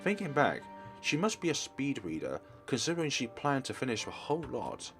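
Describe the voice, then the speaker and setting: A man's voice: mid-range voice, huge pitch swings, a little fast, a bit bright, faint music behind. Man reading a visual novel aloud. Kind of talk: reading game narration aloud.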